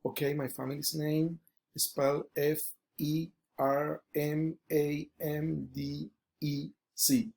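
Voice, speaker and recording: A man's voice: a little high, level tone, slow pace, gravelly, a little mellow, breathy edge. A young man from Colombia with a Colombian Spanish accent, a Spanish native speaker, answering interview questions in English and restarting his answers over and over with many fillers.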